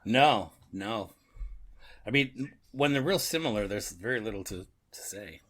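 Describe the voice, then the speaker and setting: A man's voice: on the low side, expressive, a bit bright. A man chatting casually as an agreeable podcast co-host. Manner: agreeable, quick to affirm. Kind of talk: casual chat.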